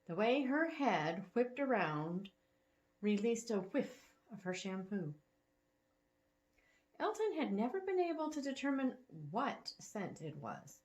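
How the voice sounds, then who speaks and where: A woman reading fiction aloud in an expressive, dramatic storytelling style. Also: fairly high pitch, takes it slowly.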